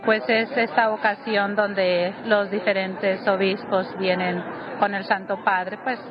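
A woman's voice: mid-range voice, level tone, takes it slowly, lo-fi sound, over music, crowd noise. A woman with a Mexican accent giving an earnest, devout, unscripted interview answer. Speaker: religious sister interviewee.

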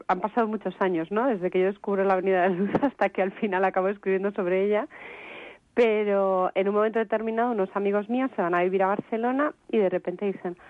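A woman's voice: medium pitch, dry recording. A young woman from La Mancha, speaking as a guest in a thoughtful, hesitant way. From La Mancha, Spain. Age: young adult. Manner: thoughtful, hesitant, with fillers and restarts. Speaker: interview guest, writer. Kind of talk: radio interview by phone. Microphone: phone. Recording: tinny phone line.

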